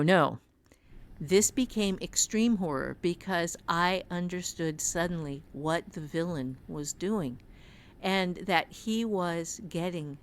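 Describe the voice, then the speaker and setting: A woman talking casually on a podcast as an author and writing teacher, telling her story in a halting, reflective way. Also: on the low side.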